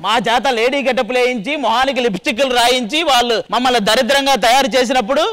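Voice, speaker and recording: A man's voice: very high voice, steady tone, fast talker, a bit bright. A man with a Telugu accent doing comic stage banter as a team leader on a TV show. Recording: music behind stage banter.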